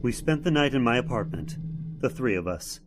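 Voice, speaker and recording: A man's voice: medium pitch, expressive, moderate pace, music in the background, natural voice. A man acting as an earnest, dramatic hero and narrator of an old-time radio horror tale. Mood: somber narration. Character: earnest man recounting his supernatural ordeal.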